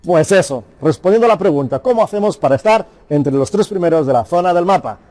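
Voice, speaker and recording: Upbeat instructor with a mellow voice. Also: male voice, sits high, animated, fast. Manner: direct, coaxing, addresses viewers formally. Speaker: course presenter. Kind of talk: instructional talk to small business owners.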